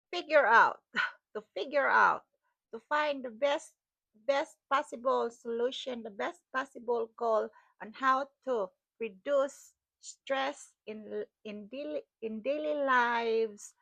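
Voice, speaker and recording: A woman's voice: fairly high pitch, vocal fry, a bit bright, no room sound, lo-fi sound. A middle-aged Filipina woman with a Cebuano accent, a wellness vlogger rambling casually to camera, repeating her phrases and laughing often. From Philippines.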